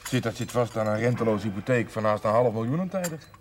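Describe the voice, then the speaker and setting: An actor playing a concerned, sensible grown son with an earnest, matter-of-fact manner in a naturalistic television drama. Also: male voice, lowish voice, lively intonation, fast, lightly acted. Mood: wry. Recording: noisy 1970s television soundtrack.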